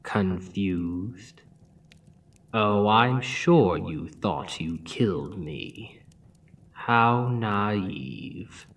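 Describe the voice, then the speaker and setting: A man voicing a slow, deep, taunting demon king. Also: distant mic, exaggerated voice. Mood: mocking. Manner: taunting, purring, drops to whispers. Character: scheming male demon king spirit, seductive, mocking. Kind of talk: ASMR roleplay. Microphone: close ASMR mic.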